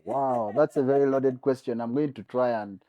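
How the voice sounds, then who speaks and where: Relaxed Ugandan man full of fillers. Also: medium pitch, moderate pace, dry recording. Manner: relaxed, laughs often, many fillers. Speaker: guest, advertising CEO. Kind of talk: casual interview chat.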